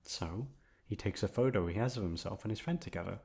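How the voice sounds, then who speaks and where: A man playing an obsessive, lovesick young man in an intimate ASMR roleplay, coaxing softly with drawn-out words and emphatic repetition. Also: on the low side, gravelly. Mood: wistful, coy. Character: obsessive lovesick young man confessing to his best friend.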